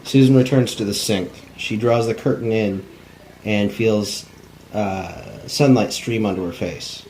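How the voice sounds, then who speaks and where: Low-voiced man reading stage directions. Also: unhurried, darkish tone, rough recording. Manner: plain, unpolished cold reading. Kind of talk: scripted narration at a table read. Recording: faint background noise.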